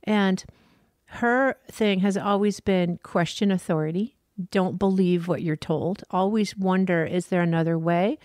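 A woman's voice: lowish voice, measured pace, a little mellow, no room sound, studio quality. Passionate woman surf school founder. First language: French. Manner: earnest, passionate, laughs easily. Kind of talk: casual interview talk.

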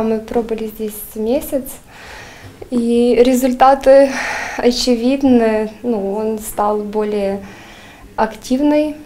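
A woman's voice: fairly high pitch, dark tone, a little hiss or hum. A young woman speaking in an interview, earnest and hesitant with many fillers, recorded on a lapel mic in a studio. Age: young adult. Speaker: patient's wife giving a testimonial. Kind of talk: interview testimonial. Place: studio, plain dark backdrop.

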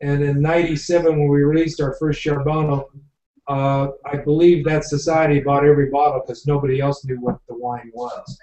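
A man's voice: a little high, flat delivery, moderate pace, dark tone. An older man who owns a winery, chatting at length with many fillers while hosting a live tasting over a video call from a home office. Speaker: winery owner. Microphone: video call webcam. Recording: dull, echoey webcam audio from far off.

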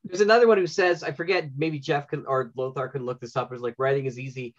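A man's voice: medium pitch, steady tone, fast. A middle-aged man moderating a casual panel discussion over a video call. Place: indoor room, video call. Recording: dull lo-fi video call audio.

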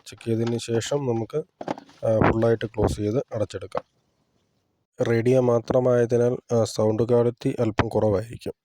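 A man's voice: medium pitch, level tone, normal speed, long pauses, heavy background noise. A man giving a casual hands-on tutorial, explaining plainly step by step as a hobbyist presenter.

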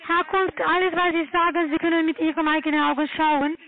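A woman with a foreign accent giving a halting, formal sales pitch as a telemarketer on the phone. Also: flat delivery. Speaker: telemarketing caller, trading broker. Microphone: telephone call. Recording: tinny narrow-band phone line.

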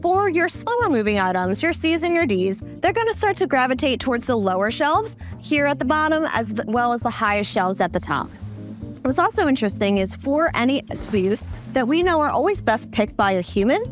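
A woman's voice: high-pitched, big pitch swings, brisk, faint music behind. A young woman presenting a product demo on a warehouse floor, with a polished, upbeat sales delivery. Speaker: company presenter. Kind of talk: scripted product demo tour.

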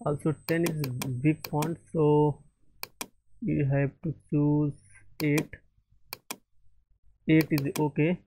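A man walking through a software tutorial, halting and often repeating or correcting himself. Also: on the high side, a little hiss or hum, rough recording. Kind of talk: screen-recorded software tutorial.

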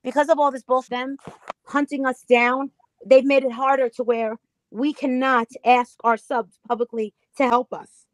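A woman's voice: sits high, little pitch movement, normal speed, no echo, rough recording. A woman guest on a livestream panel, chatting casually with strong opinions and repeating phrases for emphasis.

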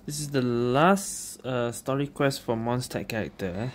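A woman's voice: rumbling low, moderate pace. A woman giving casual, off-the-cuff commentary as she streams a game. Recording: loud game music behind voice.

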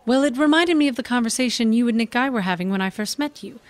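An actress playing an earnest, polite young stage actress, articulate, in a comedy audio drama. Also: female voice, fairly high pitch, expressive, fast, no echo, natural voice. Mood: earnest, thoughtful.

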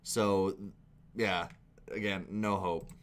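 A man's voice: low-pitched, steady tone, drawn-out words. A young man with a Canadian accent giving casual, exasperated, sweary commentary as a reaction video host. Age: young adult. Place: small indoor room at a computer.

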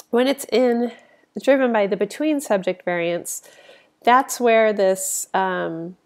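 A woman's voice: mid-range voice, darkish tone. A woman with a slight cold, sounding sniffly, narrating a statistics lecture over slides in an informal, conversational way with frequent fillers.

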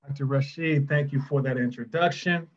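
A man's voice: mid-range voice, moderate pace. A middle-aged American man giving a thankful keynote greeting on a video call. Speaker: keynote speaker, author and professor. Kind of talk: gracious public address. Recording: slightly dull video-call audio.

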